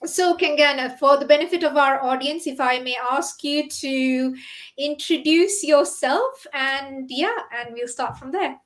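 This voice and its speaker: A woman's voice: sits high, unhurried. Chatty woman hosting a live stream. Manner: chatty, frequent "you know" and "isn't it". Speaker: host, doctor and business owner.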